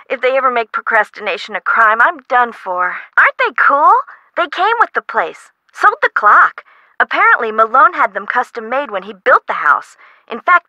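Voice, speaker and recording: An actress playing an excitable, worried woman homeowner in a video game, American and chatty in an emphatic way. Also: on the high side, no echo, full character voice. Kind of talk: scripted game dialogue.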